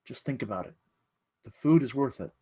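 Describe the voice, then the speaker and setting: Quick-talking mild young man, acted by a man. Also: mid-range voice, lively intonation, dark tone, husky, dry recording. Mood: earnest, mild. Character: earnest, mild young hard-of-hearing younger brother. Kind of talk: script table read.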